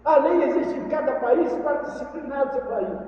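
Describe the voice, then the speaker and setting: A man's voice: extremely high pitch, normal speed. A Brazilian man preaching a sermon to a congregation in an emphatic way, repeating phrases for effect. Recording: echoey hall from far off, dull, faint noise.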